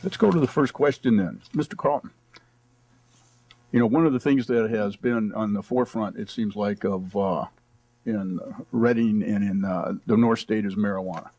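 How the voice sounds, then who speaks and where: A man's voice: on the low side, normal speed, dark tone, no room sound. A man acting as debate moderator, putting questions to candidates in a conversational way.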